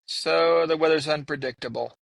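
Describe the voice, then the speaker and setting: A man's voice: on the high side, moderate pace, vocal fry, fairly bright tone, no echo. A man co-hosting a podcast, talking in a plain, matter-of-fact way. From Las Vegas. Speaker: podcast co-host. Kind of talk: casual podcast chat.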